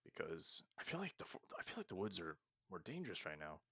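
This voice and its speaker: A man's voice: deep voice, wildly swooping pitch, quick pace, sharp tone, breathy edge, no echo. A man casually talking through a roleplay video game as the host, thinking aloud and often restarting his sentences. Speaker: let's play host. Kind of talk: casual gameplay commentary.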